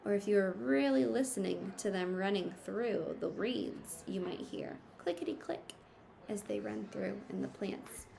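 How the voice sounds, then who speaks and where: Female teacher, storybook read-aloud. Slow, slightly gravelly, expressive, explains simply. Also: mid-range voice, a little hiss or hum. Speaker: teacher reading aloud to pupils. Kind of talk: picture book read-aloud with teaching asides.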